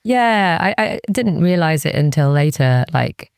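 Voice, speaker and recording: Candid woman from Wales, creaky low-key delivery. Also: lively intonation, a little slow, a little mellow, no echo, clean studio sound. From Cardiff, Wales. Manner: candid, serious, peppered with "like" and quoted inner thoughts. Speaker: interview guest, music industry executive. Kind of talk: reflective personal interview conversation.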